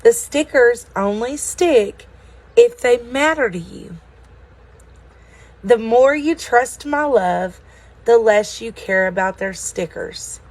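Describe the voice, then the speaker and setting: A woman voicing a kindly, fatherly bearded craftsman in a storybook, speaking gently and thoughtfully. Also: a little high, animated, low-quality recording. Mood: gentle, firm. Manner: thoughtful, slow, gentle, reassuring.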